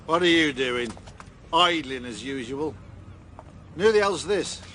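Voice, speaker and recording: Forceful, bullying village vet. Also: male voice, fairly high pitch, animated, slow, slight background noise, heavily acted. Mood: hostile. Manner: curt, aggressive. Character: hostile, bullying, hard-drinking village vet. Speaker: actor in radio drama. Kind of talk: radio drama acting.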